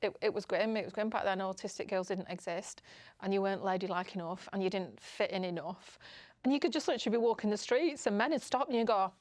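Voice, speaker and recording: A woman's voice: mid-range voice, fast talker, darkish tone, no room sound, studio quality. A middle-aged woman with a Northern English accent, telling personal stories casually in a candid, self-deprecating way as a podcast guest. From northern England. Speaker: podcast guest, public speaker.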